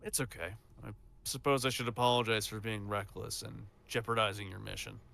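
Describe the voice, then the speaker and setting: Casting a man for audio-drama supervillain: proud and earnest, bright edgy voice with some gravel, soft-hearted underneath. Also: on the low side, steady tone, a little fast.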